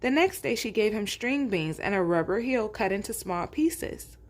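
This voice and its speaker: A woman's voice: medium pitch, animated, normal speed. A woman reading aloud as a children's storytime host, with chatty asides spoken straight to young listeners. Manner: addresses young listeners directly, conversational.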